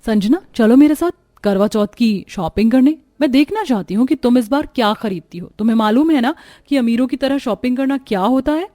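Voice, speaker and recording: A woman's voice: fairly high pitch, expressive, a little fast, few pauses, mellow, close to an everyday voice. A woman voicing a haughty, boastful rich young wife in a moral cartoon story. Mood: scornful, boastful. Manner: scornful, boastful. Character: haughty rich young wife who taunts her poor friend.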